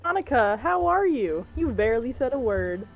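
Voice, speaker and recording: A woman's voice: a little high, big pitch swings, moderate pace, narrow, tinny audio, faint music behind. A woman playing a genteel, old-fashioned politician's wife in a game, with a polite, gracious manner.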